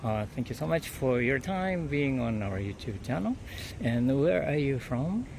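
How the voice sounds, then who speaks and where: A man whose first language is Japanese, interviewing tourists in English on the street, halting and restarting his questions with easy laughs. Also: mid-range voice, big pitch swings, creaky, darkish tone, a little hiss or hum. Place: outdoors in a street.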